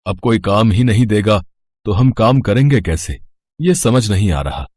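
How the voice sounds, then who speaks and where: A man's voice: on the low side, animated, a little slow, darkish tone, no echo. A man voicing a tired, defeated older father in a village moral story, speaking in a resigned way. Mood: ashamed.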